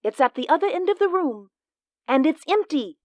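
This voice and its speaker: An actress in a 1940s radio drama playing a frightened young woman who talks in a tearful, high-strung way. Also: sing-song, normal speed, darkish tone, dry recording. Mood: tense.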